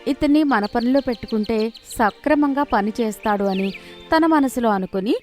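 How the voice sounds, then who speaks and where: కాస్త హెచ్చు స్వరంతో కథ చెప్పే స్త్రీ. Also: female voice, fairly high pitch, normal speed. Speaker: narrator. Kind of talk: scripted moral story narration. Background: faint background music.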